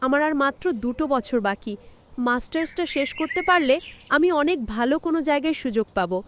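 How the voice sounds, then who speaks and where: Devoted, determined young daughter, played by a woman for cartoon drama: high-pitched, earnest, reassuring. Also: lively intonation, fast, a little hiss or hum. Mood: pleading.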